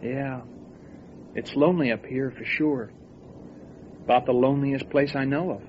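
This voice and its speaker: A man's voice: mid-range voice, normal speed, close to an everyday voice. A man playing an eager, curious junior lawman in a radio drama. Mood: musing. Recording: muffled, very noisy, clicking.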